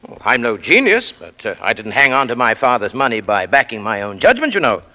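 A man's voice: medium pitch, sing-song, a little fast, sharp tone. An actor playing a pompous, grudging American businessman boss, blustering and stammering in 1940s radio drama style. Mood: self-satisfied, blustering.

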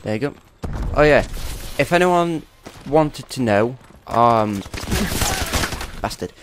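A young man commentates his gameplay in a loose, rambling chat, his voice a little bunged up from a cold. His pitch sits at an ordinary middle height, and a faint hiss runs under the home recording. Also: expressive, slow pace. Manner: rambling, chatty, swears at opponents. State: congested with a cold. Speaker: gaming YouTuber.

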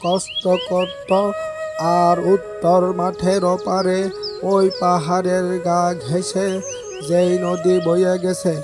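An elderly village elder in a cartoon folk tale, voiced by an actor. The delivery is slow and even, heavy with sorrow and stubborn attachment to home. Music plays clearly behind the voice. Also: mid-range voice, close to an everyday voice. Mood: earnest regret. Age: older. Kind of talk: animated folk tale dialogue.

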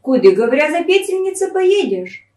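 A woman's voice: high voice, very animated, normal speed, mellow, slight background noise, pitched above the performer's own voice. A woman with a Northern Russian Pinega dialect voicing haughty, mocking young peasant sisters in a folk tale. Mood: scornful. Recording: echoey room, distant microphone.

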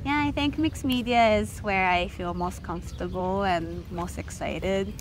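A young woman with a Japanese accent talks openly and animatedly in a casual interview, sprinkling her speech with fillers. Her pitch sits in the middle range. Clear background noise runs beneath the recording. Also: measured pace. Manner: lively, frank, many fillers like "like" and "you know". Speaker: guest filmmaker. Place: festival setting. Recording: noisy ambience behind.

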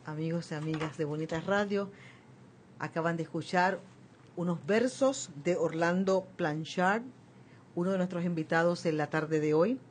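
A woman's voice: fairly low pitch, moderate pace. A woman hosting a radio program and interviewing in a conversational style. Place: small radio studio, indoors. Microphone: studio microphone on boom arm. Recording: clear background noise, live webcast.

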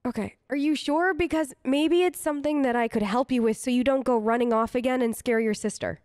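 Female let's player, fairly high voice, casual role-play commentary, chatty, reassuring, recorded dry. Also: fairly quick, darkish tone, no room sound. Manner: chatty, reassuring, thinks aloud. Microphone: close microphone, dry voice-over.

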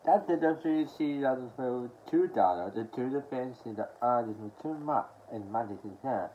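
A young adult man from Australia talking haltingly and with mumbled words in a casual show-and-tell to camera at home. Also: medium pitch, expressive, warm tone. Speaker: collector showing his media haul. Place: small furnished room at home, indoors. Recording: dull lo-fi sound with background noise.

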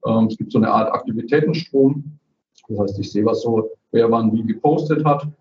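A man's voice: medium pitch, normal speed, a little hiss or hum. A man on a video call, casually presenting his community platform, dotting his speech with "ne?" and "äh". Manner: chatty, frequent "ne?" tags and "äh" fillers. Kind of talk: casual screen-share presentation. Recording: roomy, distant, dull video-call audio.

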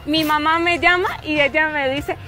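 A young Colombian woman with a Santander accent speaks in a high, bright register. She talks in a punchy, emphatic way, checking in with little "¿sí?" tags. Faint music sits under her voice. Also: fairly even intonation, moderate pace. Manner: forceful, emphatic delivery with frequent "¿sí?" tags. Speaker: interviewee. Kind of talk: street interview anecdotes. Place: outdoor park with trees.

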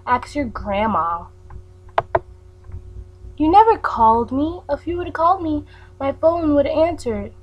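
A woman's voice: high voice, muffled recording. A woman voicing a snippy girl who ends a friendship, curt and dismissive, with a faint hum behind her. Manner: curt, dismissive, name-calling.

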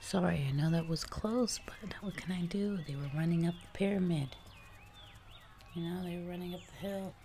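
A young woman playing a hungry, casually menacing jungle giantess in an ASMR roleplay, whispering and murmuring softly, close to the microphone. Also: lowish voice, close to an everyday voice. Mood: smug, hungry. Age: young adult. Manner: slow, breathy whispering mixed with soft murmurs. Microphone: close microphone in front of mouth. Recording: close mic, noticeable noise and clicks.

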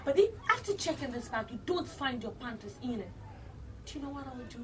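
Spoiled young rich woman, very high voice that swings widely, indignant and theatrical. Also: big pitch swings, normal speed, background noise. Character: haughty rich young woman, senator's daughter. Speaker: wealthy customer in a boutique.

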